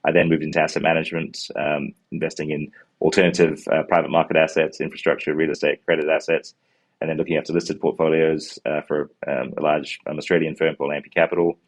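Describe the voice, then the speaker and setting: A man in his forties from New Zealand with a Kiwi accent, a fund co-manager pitching his fund over a video call from an office, full of ums and restarts. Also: level tone, fast. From born in Sri Lanka, raised in New Zealand. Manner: many ums and uhs, restarts words while explaining. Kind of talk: investment pitch answering questions. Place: office with glass walls, indoors. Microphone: earbuds on a video call.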